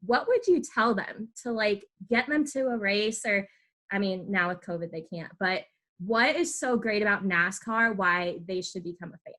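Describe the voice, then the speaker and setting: A woman's voice: medium pitch, moderate pace, a bit bright. A woman hosting a podcast, asking rambling, chatty questions with fillers. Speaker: podcast host. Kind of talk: casual podcast interview.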